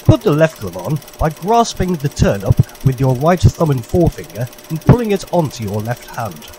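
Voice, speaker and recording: A man delivers instructions like a vintage public information film. His pitch moves in an animated way, and his manner is formal and jolly in an old-fashioned style. A light mechanical rattle runs behind his voice. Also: moderate pace, noisy background. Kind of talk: parody vintage public information newsreel narration.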